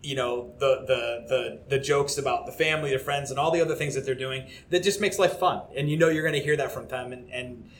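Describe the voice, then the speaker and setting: A man's voice: mid-range voice, a little fast, hardly any pauses. A man talking casually as a taproom co-owner in an interview, enthusiastic and rambling with lots of restarts.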